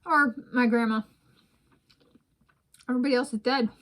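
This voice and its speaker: A woman's voice: a little high, fairly even intonation, normal speed, fairly bright tone. A woman in her thirties from the United States, chatting casually in a food vlog at home, wandering off topic as she eats. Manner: rambling, digressive, talks while eating. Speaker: vlogger. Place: home room with bookshelves, indoors.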